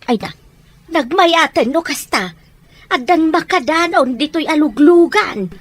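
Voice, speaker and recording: A woman's voice: high-pitched, animated, a little slow. An actress playing an easygoing, chatty woman companion in an Ilocano radio drama. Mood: chatty, sympathetic.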